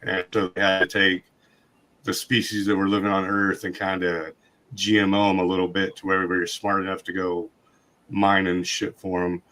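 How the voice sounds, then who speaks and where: A man hosting a podcast in casual chat, his voice hoarse and scratchy from a long night of talking. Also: sits low, completely flat delivery, normal speed, bright, forceful tone. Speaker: podcast host.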